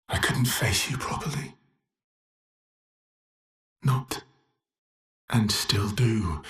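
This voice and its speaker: Low, slow, anguished guilt-ridden man. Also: fairly bright tone, reverberant. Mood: ashamed, pained. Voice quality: breathy, often sinking to a whisper. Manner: halting, intense, confessional. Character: anguished, guilt-ridden man desperate to save his family. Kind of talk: dramatic video game voice acting.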